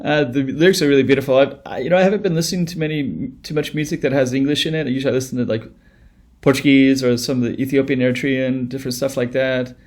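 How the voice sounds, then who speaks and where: A man in his thirties chatting into a close webcam at home, reacting to a music video. His voice sits at an ordinary middle pitch and his talk wanders, full of "um", "like" and false starts. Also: fairly even intonation, quick pace, a little mellow. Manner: rambling, with many fillers and restarts. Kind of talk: casual reaction commentary.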